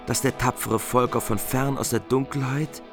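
Calm male narrator of a medieval epic. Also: medium pitch. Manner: calm, measured. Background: music.

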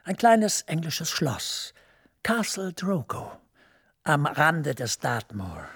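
An actor playing a smooth, persuasive senior EU official who speaks in a measured way. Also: male voice, a little high, sing-song, fairly bright tone, heavily acted. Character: smooth senior EU director, middle-aged man, persuasive.

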